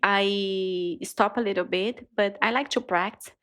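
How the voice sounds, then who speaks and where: Brazilian woman guest, mid-pitched, halting English, laughs a lot. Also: fairly bright tone. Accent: Brazilian Portuguese, São Paulo. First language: Portuguese. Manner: halting non-native English, laughs often. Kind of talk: casual chat.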